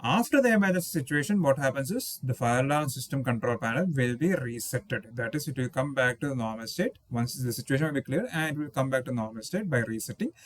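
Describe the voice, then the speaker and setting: A man's voice: medium pitch, dry recording. Man rephrasing through a dry technical lecture. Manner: explanatory, repeats and rephrases himself, frequent "okay" and "uh". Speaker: engineering instructor. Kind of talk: slide-based technical lecture. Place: indoors, voice-over on slides with small webcam inset.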